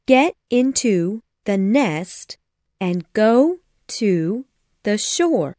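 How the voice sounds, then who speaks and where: A woman voicing a determined, quick-tempered mother bird in a storybook, speaking in a slow, deliberate, commanding way. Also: mid-range voice, big pitch swings, warm tone, no echo, clean studio sound. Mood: slow, insistent. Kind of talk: storybook character voice.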